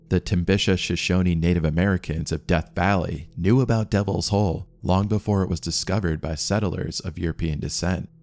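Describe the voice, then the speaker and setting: A man with a very low voice reads a scripted documentary narration. He keeps a measured, steady storytelling pace throughout. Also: no echo.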